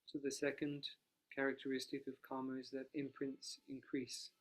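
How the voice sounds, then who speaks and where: A man, a monk, leading a guided analytical meditation, speaking quietly and unhurriedly without a dedicated microphone. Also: mid-range voice, completely flat delivery, rough recording. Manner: soft-spoken, quiet, unhurried.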